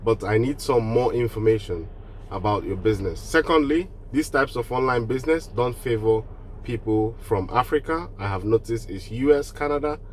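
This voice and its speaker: A man's voice: mid-range voice, normal speed, a little hiss or hum. A man from Nigeria with a cold, giving earnest, casual advice on online business straight to the camera and often restarting his phrases. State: has a cold.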